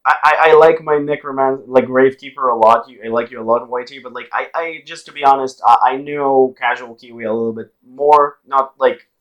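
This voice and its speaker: A man with an Israeli accent hosts a casual online chat at a middle pitch. He talks loosely and jokes around, tripping over his words and restarting often, over a home computer mic on a voice call. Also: steady tone, a little fast, few pauses, fairly bright tone, rough recording. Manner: loose, joking, lots of fillers and restarts. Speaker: podcast host.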